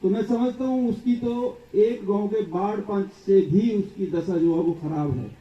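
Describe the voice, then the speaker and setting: A man's voice: high-pitched, measured pace. A man delivering a political speech at a public gathering, building his points through repeated lists. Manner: rhetorical, repetitive lists. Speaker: political speaker. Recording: echoey, distant, dull sound.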